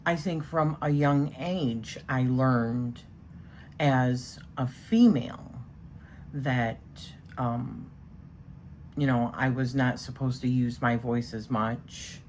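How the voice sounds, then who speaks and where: A woman's voice: a bass voice, steady tone, takes it slowly, darkish tone, slight background noise. A woman with a strong voice, chatting casually and rambling a little as she talks through a tarot spread. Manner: chatty, rambling with many fillers. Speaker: tarot reader. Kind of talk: casual spoken tarot session.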